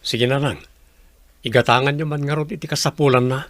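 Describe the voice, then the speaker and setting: A man's voice: mid-range voice, fairly even intonation, a little fast, a bit bright, no echo, natural voice. A man with an Ilocano accent acting a dutiful son and caring young father in a radio drama. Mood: concerned.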